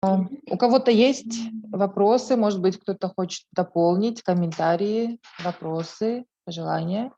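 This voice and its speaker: A woman's voice: mid-range voice, moderate pace, warm tone, muffled recording. A woman earnestly urging municipal participants in an online meeting. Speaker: speaker addressing municipalities. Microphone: video call audio.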